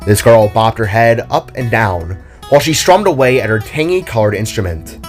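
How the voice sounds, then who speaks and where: Expressive male storyteller with lively pitch. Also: normal speed. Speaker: narrator and writer of an audio drama. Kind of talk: scripted story narration, audio drama. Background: solo acoustic guitar music.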